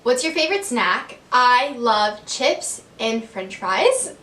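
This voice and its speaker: A woman's voice: fairly high pitch, sing-song, slow pace, a bit bright, reverberant, far from the mic. A young woman in her twenties from Texas, chatting casually to the camera with lots of "like" and "um", laughing often. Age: 20s. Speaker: vlogger. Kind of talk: casual get-to-know-me chat.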